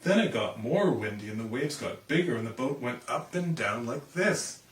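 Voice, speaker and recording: Casting: male storybook reader, very expressive intonation, echoey and slightly distant sound. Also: medium pitch, moderate pace, slight background noise. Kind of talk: animated children's storybook narration. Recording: roomy, distant microphone.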